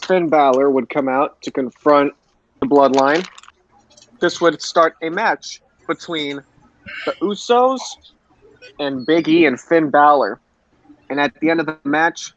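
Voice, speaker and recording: A man's voice: a little high, measured pace. A man co-hosting a podcast, giving an informal recap on a lo-fi recording. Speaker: podcast co-host. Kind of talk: informal recap of wrestling show.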